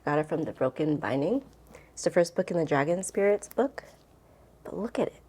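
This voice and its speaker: A woman's voice: sits low, lively intonation, brisk, warm tone, breathy edge. A woman book vlogger chatting casually and rambling to camera, with an informal, gushing and emphatic way of talking.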